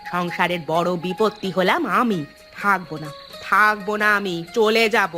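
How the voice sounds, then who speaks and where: A woman voicing a sharp-tongued, self-pitying, vain village wife in a fairy-tale cartoon, scolding theatrically. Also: medium pitch, fairly even intonation, normal speed, soft background music, lightly acted. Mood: aggrieved scolding.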